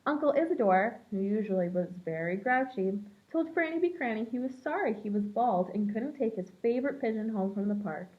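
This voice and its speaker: High-voiced woman reading to young children. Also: fairly quick, few pauses. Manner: expressive, storytelling for young children. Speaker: preschool teacher reading aloud. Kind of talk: children's picture book read-aloud. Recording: dull lo-fi sound.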